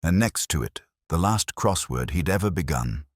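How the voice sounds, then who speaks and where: A man playing a melancholy, reflective police inspector, narrating an audio drama in slow, measured, solemn literary prose with deliberate pauses. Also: low-pitched, lively intonation, dry recording, studio quality. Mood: somber, brooding. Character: melancholy, reflective male police detective inspector telling his story.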